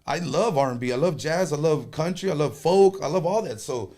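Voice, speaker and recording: A man speaking, a conjunto band leader chatting casually as a guest, animated and joking, with quick bursts. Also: fairly high pitch. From Texas. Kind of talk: casual interview chat.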